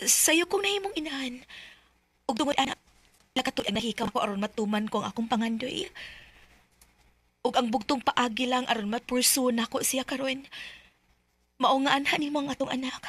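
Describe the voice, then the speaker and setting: A woman's voice: high voice, fairly quick, long pauses, a bit bright, no room sound. An actress playing an earnest, ambitious young mother in a Filipino radio drama, with a Cebuano accent. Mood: earnest, pleading.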